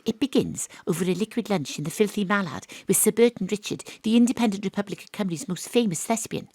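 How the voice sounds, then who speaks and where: An actress playing a brisk, wry private investigator, narrating her case in comic noir style for an audio drama. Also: mid-range voice, dry recording, close to an everyday voice. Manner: deadpan noir-style narration, punchy questioning.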